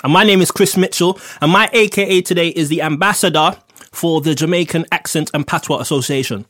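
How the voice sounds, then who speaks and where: A man's voice: a little high, a little fast, fairly bright tone. A man co-hosting a podcast in casual, teasing chat, laughing often. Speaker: podcast co-host.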